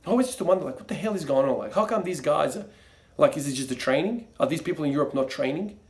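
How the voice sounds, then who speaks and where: A man's voice: on the high side, expressive, fast talker. A young man from Croatia, grown up in Australia, talking casually to camera in a rambling way, full of fillers and restarts. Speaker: fitness vlogger.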